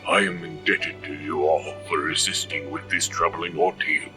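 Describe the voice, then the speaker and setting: A man's voice: very deep voice, fairly even intonation, normal speed, recorded from a distance, music in the background. A man playing a solemn old-time preacher with grave, archaic phrasing in an audio drama.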